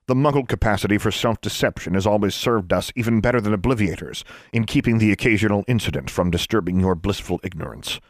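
A man voicing a stout older wizard leader who speaks calmly and condescendingly. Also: on the low side, quick pace, few pauses, dry recording, natural voice. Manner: calm, dry, condescending. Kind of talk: audiobook character voice.

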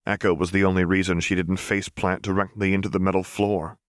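Deep-voiced man narrating fiction. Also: little pitch movement, fast talker, no room sound, clean studio sound. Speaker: audiobook narrator.

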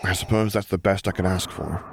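A man's voice: sits low, steady tone, a little fast, gravelly, noisy background. A man voicing a sarcastic, exasperated young man with a short temper in an audiobook, blunt and emphatic. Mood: grudgingly fond. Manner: blunt, sweary, emphatic jabbing delivery. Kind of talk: audiobook character voice.

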